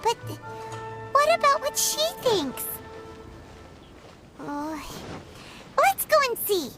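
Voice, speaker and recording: A woman voicing a tiny childlike fairy companion in a video game, squeaky, chirpy and outspoken. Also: big pitch swings, slow, heavily acted. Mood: curious, concerned. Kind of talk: English video game voice acting. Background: loud music.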